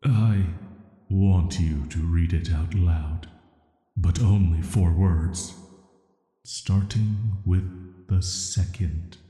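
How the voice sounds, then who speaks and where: A man playing an ancient banished villain in an audio roleplay, speaking softly in a flat, measured, hesitant way. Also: soft background music. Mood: grave, careful. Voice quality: very deep, soft, atmospheric. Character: ancient banished male villain, immortal cursed being, calm and flirty. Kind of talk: slow scripted roleplay audio drama monologue. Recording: echoey, long cavernous reverb.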